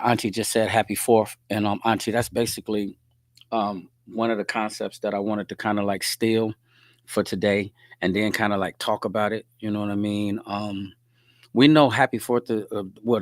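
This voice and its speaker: A middle-aged man hosting his podcast alone, hoarse and relaxed, chatting to viewers and answering their comments. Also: fairly low pitch, no echo, studio quality. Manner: laid-back, talks straight to viewers, reads out comments. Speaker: podcast host. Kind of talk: casual solo livestream chat.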